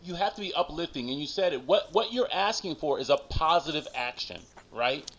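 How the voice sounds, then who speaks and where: A man's voice: on the high side, lively intonation, fairly quick, fairly bright tone. A man in a casual group discussion, speaking emphatically and persuasively, often ending points with "right?". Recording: dull lo-fi audio.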